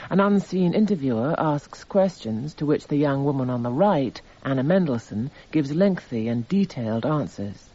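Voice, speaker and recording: Actor as detached, mellow trial narrator. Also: male voice, medium pitch, expressive, normal speed, hardly any pauses, natural voice. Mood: detached, descriptive. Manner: clipped, itemising, descriptive. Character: detached, formal male narrator reading out trial evidence. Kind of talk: scripted radio drama narration. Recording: slightly muffled radio broadcast.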